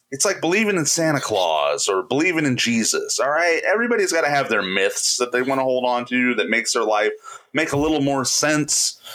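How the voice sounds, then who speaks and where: A man's voice: mid-range voice, sharp tone. A man from Indiana co-hosting a casual movie podcast, rambling and joking, often breaking into laughter. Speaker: podcast co-host.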